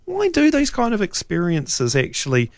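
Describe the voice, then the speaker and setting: A man's voice: high voice, huge pitch swings, moderate pace, no room sound, clean studio sound. A middle-aged man hosting a radio talk show, chatting and rambling with fillers and restarts. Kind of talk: casual radio chat. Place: radio studio.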